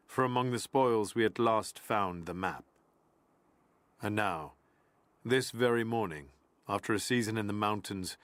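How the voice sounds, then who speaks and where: Low-voiced, grave zealot envoy. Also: male voice, a bit bright, no room sound. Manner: measured, grave, formal. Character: solemn zealous medieval male envoy of a religious order. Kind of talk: scripted game narration of a journal.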